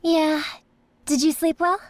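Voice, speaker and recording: A woman voicing a gentle, caring young woman in a video game, soft-hearted and unhurried. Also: high-pitched, little pitch movement, background noise.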